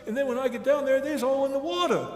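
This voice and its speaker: A man's voice: very high-pitched, fast talker, pitched above the performer's own voice. A man voicing a hapless, gullible raccoon in a folktale, with plaintive, folksy rural phrasing. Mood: whining complaint. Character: hapless, worried male raccoon, whining and gullible. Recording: echoey hall with long reverb.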